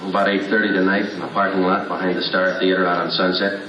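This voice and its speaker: An actor playing a clipped, matter-of-fact police detective in a vintage radio crime drama. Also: male voice, low-pitched, quick pace, highs cut off, noisy background, close to an everyday voice. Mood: terse. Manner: flat, clipped police report delivery. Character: terse male police detective, 1940s. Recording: roomy distant old broadcast.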